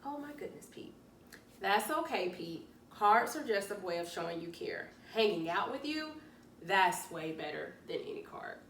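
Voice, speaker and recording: A bright-spirited young female storybook cat, put on by a woman encourages and reassures with emphasis. Her pitch swings a lot, and she is heard slightly off the mic with faint noise. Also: big pitch swings, normal speed, roomy sound, far from the mic. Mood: reassuring. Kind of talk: children's storybook read-aloud.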